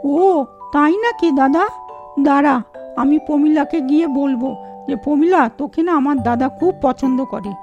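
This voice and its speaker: A woman's voice: sits high, unhurried, darkish tone, dull audio, soft background music. A woman playing an inquisitive young sister in a cartoon story, talking in a familiar, teasing way.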